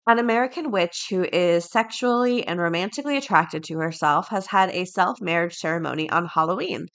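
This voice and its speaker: A woman with a slightly low-pitched voice hosts a solo podcast. She speaks in a casual, conversational way, moving between reading aloud and offering informal asides of her own. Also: brisk, fairly bright tone. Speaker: podcast host. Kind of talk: casual solo podcast talk with news headlines read aloud.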